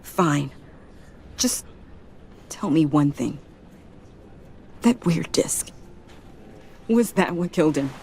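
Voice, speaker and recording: An actress playing a grieving, determined young woman in a video game, accusing, with a halting, emotional and intense delivery. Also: low-pitched, expressive, darkish tone, slight background noise, heavily acted. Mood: pleading, suspicious.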